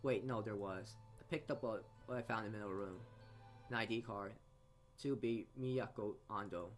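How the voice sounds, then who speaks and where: A man's voice: fairly low pitch, normal speed. A man narrating a visual novel aloud as a let's play host, often stumbling over words and re-reading them. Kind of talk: reading game text aloud with asides. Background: game music.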